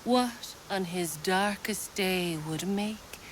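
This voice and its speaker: An actress performs a mystical female seer for a video game, over a faint hiss. Her voice is hushed and of middle pitch. She speaks extremely slowly, as if leading a gentle, solemn ritual. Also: natural voice. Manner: hushed, breathy, ritual incantation, deliberate. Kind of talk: dramatic video game acting.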